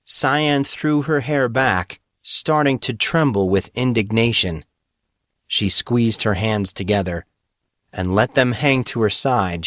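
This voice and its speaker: A man's voice: on the low side, a bit bright, dry recording, clean studio sound. A man reading scripted fantasy fiction as the narrator of a story podcast.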